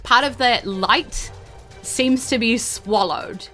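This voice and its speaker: Bright-voiced woman running a tabletop game. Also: a little high, slow. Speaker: game master. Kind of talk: casual tabletop game narration. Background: faint background music.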